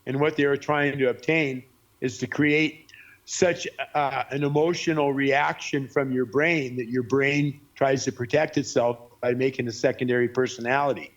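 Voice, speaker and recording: A man speaking as an interview guest, recounting his life story haltingly with many fillers. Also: mid-range voice, fairly even intonation, dull audio. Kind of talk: personal storytelling.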